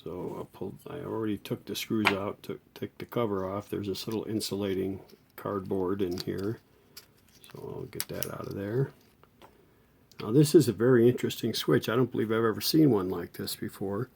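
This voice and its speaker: A man's voice: fairly low pitch, normal speed, vocal fry, darkish tone, slight background noise. A middle-aged man giving a casual hands-on repair tutorial, talking in an informal, folksy way as he works. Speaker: host of a vintage radio repair channel.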